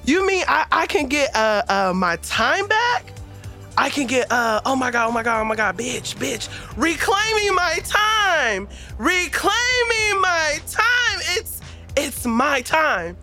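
A woman playing an excitable, sassy Earth woman in an audio drama, gushing and exclamatory. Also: high voice, takes it slowly, music in the background, heavily acted. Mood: elated, sassy.

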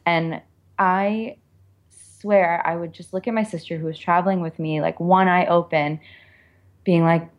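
A woman's voice: fairly low pitch, steady tone, measured pace, dull audio. A woman author being interviewed, speaking candidly and informally in a reflective way, with lots of "like" and "you know".

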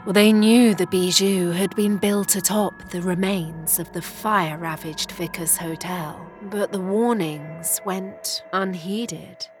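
A woman giving a scripted, atmospheric radio drama introduction in a measured, ominous storytelling manner. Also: fairly low pitch, steady tone. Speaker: announcer, narrator. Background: music.

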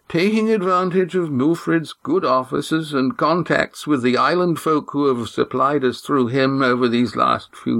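A man's voice: fairly high pitch, creaky, fairly bright tone, voice pushed up, heavily acted. A man voicing a frail old patriarch with a thin but firm, formal voice.

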